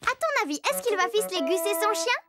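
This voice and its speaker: A performer voicing an excitable, chatty little girl who confides playfully in the viewer of a children's cartoon. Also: very high voice, fast, over loud music. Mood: animated, amused.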